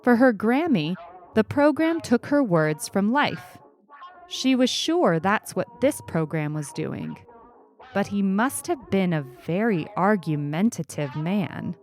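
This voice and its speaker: A woman narrating a scripted short story as its reader. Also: medium pitch. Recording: music under the reading.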